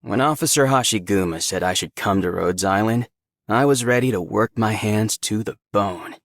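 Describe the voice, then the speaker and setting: An actor plays a modest young working man from a video game. He talks plainly and easily in a deep voice, with no room sound at all. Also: normal speed, no room sound, clean studio sound, lightly acted. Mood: easygoing. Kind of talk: video game character voice line.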